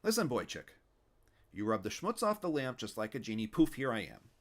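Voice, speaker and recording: Brief: grumbling old wisecracking genie, loud and raised-up voice, bouncy questions, put on by a male reader. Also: mid-range voice, big pitch swings, a little fast, slight background noise, voice pushed up. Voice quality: kvetching, wisecracking, sing-song questions. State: out of breath, tired. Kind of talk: storybook read-aloud.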